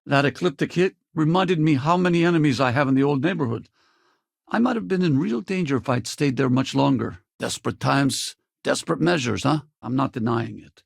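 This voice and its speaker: A man playing a weathered, loyal spacefaring pilot in a video game, gravelly and husky, with a casual, wry way of talking. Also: mid-range voice, brisk, no echo, studio quality. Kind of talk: video game companion dialogue.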